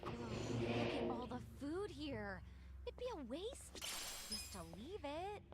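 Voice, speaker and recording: A woman's voice: sits high, sing-song, slow, very noisy, heavily acted. A woman playing a cocky, feisty young female brawler in a crime game, throwing out taunting, punchy lines under game music. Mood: lively. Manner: taunting, punchy battle barks. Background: loud game music and fight crashes.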